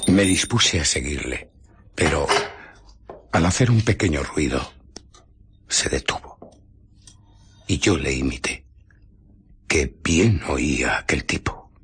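An actor playing an embittered invisible scientist in a radio drama, recounting furtive exploits with deliberate, dramatic pauses and a breathy voice that slips into whispers. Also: male voice, very deep voice, expressive, fairly bright tone, exaggerated voice. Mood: furtive, wary. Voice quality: husky, breathy voice slipping into whispers. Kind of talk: radio drama narration and dialogue.

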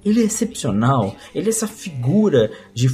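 Animated young Brazilian man thinking aloud. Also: medium pitch, brisk. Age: 20s. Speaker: vlogger giving personal commentary. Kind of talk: reflective spoken audio-log monologue. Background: music.

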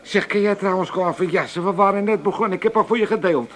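Actor playing a high, blustering old Amsterdam father. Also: fast, heavy background noise, natural voice. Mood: evasive. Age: older. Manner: blustering, exclamatory, repeats himself. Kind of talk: comic radio drama acting.

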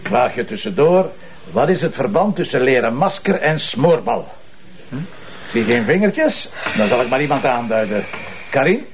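An actor as a bossy, sardonic Flemish police commissioner. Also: male voice, medium pitch, very animated, moderate pace, noisy background, close to an everyday voice. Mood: schoolmasterly, probing. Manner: theatrical, schoolmasterly, teasing. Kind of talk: TV police drama dialogue.

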